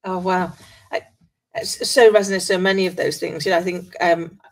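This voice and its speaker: A woman's voice: fairly low pitch, steady tone, moderate pace, rough recording. A woman hosting an interview show, effusive and encouraging as she introduces and chats with her guest. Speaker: podcast host interviewing a guest. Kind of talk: warm introduction and conversational interview.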